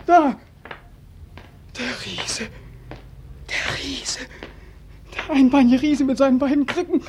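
An actor playing a tormented, despairing soldier home from war in a 1940s radio drama, anguished and halting. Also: male voice, very high voice, slight background noise. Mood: mounting terror. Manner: anguished, halting, swinging from hush to outcry. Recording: old 1940s radio recording.

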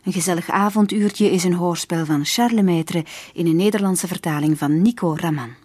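A woman's voice: fairly low pitch, moderate pace, background noise. A woman announcing a radio play in a scripted programme introduction.

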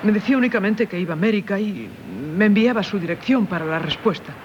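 A woman's voice: medium pitch, quick pace, close to an everyday voice. Radio drama, Castilian Spanish: actress as anguished married woman, grave confessional delivery, faint noise on a dull old recording. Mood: haunted, narrating. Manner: solemn, confessional, theatrical delivery. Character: tormented married woman haunted by a former lover. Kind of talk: 1970s radio theatre acting.

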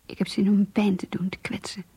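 An actress playing an anguished, lovesick young woman confiding in her diary in a radio play, hushed and intimate. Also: mid-range voice, little pitch movement, fast, dry recording. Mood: anguished, pleading. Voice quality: breathy, hushed, whispery. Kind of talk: Dutch radio play monologue.

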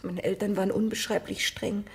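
An actress in a 1960s German radio play, playing a prim, love-starved spinster in her thirties with a breathy voice. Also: medium pitch, little pitch movement, brisk. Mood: flustered, yielding. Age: 30s.

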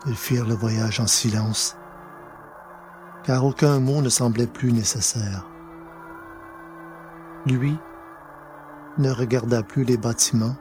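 A man narrating a scripted story in a measured, dramatic manner, with pauses for effect. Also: mid-range voice, a little mellow. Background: music.

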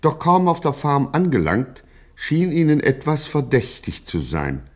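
An actor playing a grandiloquent narrator of a gangster satire, speaking in a pompous, mock-solemn way. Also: male voice, a little high, wildly swooping pitch, unhurried, full character voice. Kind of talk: scripted satirical radio narration.

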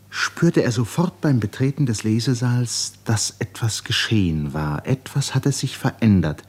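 A man narrating a radio play in a scripted literary style, with measured storytelling. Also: low voice, a little mellow, husky.

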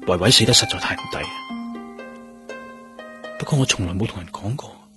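An actor playing an earnest, brooding police officer in a radio drama, sincere and restrained, with a Hong Kong Cantonese accent. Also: male voice, on the low side, a little fast, close to an everyday voice. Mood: restrained, troubled. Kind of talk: Cantonese radio drama acting with inner monologue. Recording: music clearly underneath the voice. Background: music.

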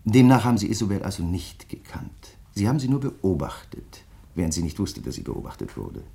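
A very low male voice carries a grieving crime writer in a radio drama. He speaks in measured, reflective phrases, hesitating as he searches for words, and stays courteous while he probes. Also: big pitch swings, gravelly, dark tone, full character voice. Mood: troubled, brooding. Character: grieving, probing male crime writer, polite but insistent. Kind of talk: German radio play dialogue.

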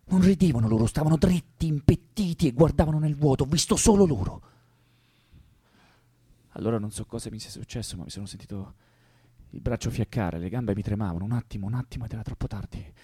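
Conscience-stricken young poet, radio drama, raspy, pleading, very animated pitch. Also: male voice, fast, no echo, studio quality. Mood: anguished recollection. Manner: fervent, pleading. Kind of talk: theatrical radio drama acting.

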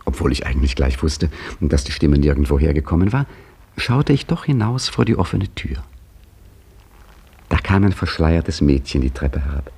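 A wry old caretaker spins his story in a dry, gently teasing way. His voice sits very low, with a gravelly crackle and a husky edge. It is 1950s radio drama storytelling. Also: sing-song, normal speed, exaggerated voice. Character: aging Egyptian embassy caretaker, wry and patient. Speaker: narrator and main character. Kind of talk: 1950s radio play acting.

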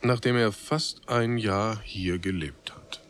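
Male drama narrator: low-set voice, drawn-out deliberate pacing, literary scripted reading. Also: fairly bright tone.

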